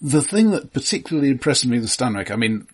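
A man's voice: medium pitch, sing-song, quick pace, slightly rough. A man co-hosting a film podcast, thoughtful and dryly wry, often restarting his sentences. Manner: thoughtful, dry wry humour, frequent restarts. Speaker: podcast co-host. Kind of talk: casual film discussion.

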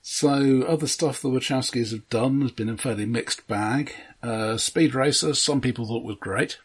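A man co-hosting a podcast, casually discussing films with wry, measured and dryly critical remarks. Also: medium pitch, breathy edge. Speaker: podcast co-host.

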